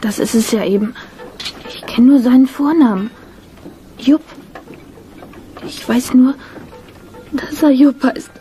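A distraught young actress in a radio drama. Her voice is high and very mellow. She sounds fearful and despairing. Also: takes it slowly, long pauses, a little hiss or hum. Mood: forlorn. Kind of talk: German radio drama acting.

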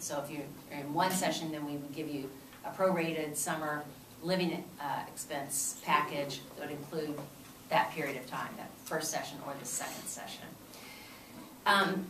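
A middle-aged woman giving a measured, explanatory talk on financial aid with frequent fillers, speaking at a podium in a lecture room. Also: sits low, fairly bright tone. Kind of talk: informational presentation. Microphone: far camera. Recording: echoey room, far camera, room noise.

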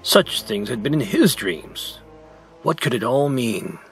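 Slow, dramatic male storyteller. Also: mid-range voice, sing-song, vocal fry, slightly rough, soft background music. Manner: deliberate, theatrical. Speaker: story reader.

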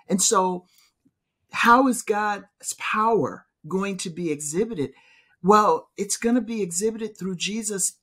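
A woman's voice: medium pitch, a bit bright, husky. A middle-aged woman, a professor, speaking emphatically and in a preacherly way in a casual theological discussion, recorded over a video call from a home office. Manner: emphatic, preacherly, gestures while talking. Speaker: professor and podcast co-host. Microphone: video call webcam.

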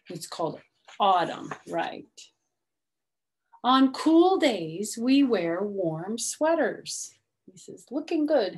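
A middle-aged woman reads a children's picture book aloud slowly and expressively, adding chatty, simple explanations for young children. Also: medium pitch, a little mellow. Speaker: storytime reader. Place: small room at home, indoors.

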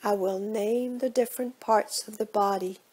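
A woman guiding a relaxation meditation in a soft, breathy voice, with measured, hushed delivery and gentle phrase endings. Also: medium pitch, fairly even intonation. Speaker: yoga nidra meditation guide. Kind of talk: guided relaxation meditation, scripted instruction.

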